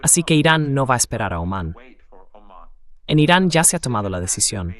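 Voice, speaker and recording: A man's voice: medium pitch, big pitch swings, a little fast, a bit bright. A man explaining political developments in a synthetic dubbed translation voice.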